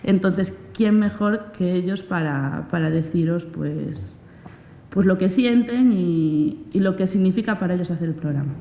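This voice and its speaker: Spanish woman from spain doing an informal talk, lowish voice, big echoey hall, bit of rumble. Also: unhurried, warm tone. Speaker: presenter of a community radio project. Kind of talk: informal spoken presentation to an audience.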